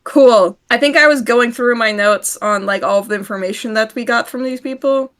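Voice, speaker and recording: A woman's voice: fairly high pitch, moderate pace, a bit bright. A woman playing a tabletop role-playing game, chatty and fussy about keeping notes. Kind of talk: in-character table talk.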